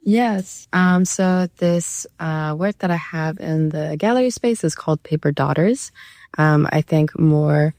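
A woman's voice: low-pitched, a little slow, a little mellow, dry recording, clean studio sound. A Canadian woman, an artist being interviewed, speaking in a hesitant, thoughtful way about their own work. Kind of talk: reflective conversation about their own art.

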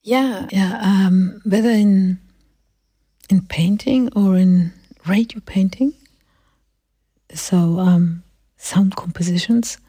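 A woman's voice: mid-range voice, slow pace, long pauses, dark tone, breathy edge, dry recording, studio quality. A woman who is not a native English speaker, talking reflectively about art and searching for words as they go. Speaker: artist in conversation.

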